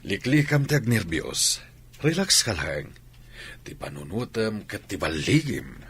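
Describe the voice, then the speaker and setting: A man playing a calm, instructive athletics coach in a radio drama, with an Ilocano Filipino accent. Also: lowish voice, measured pace, husky, full character voice. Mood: reassuring.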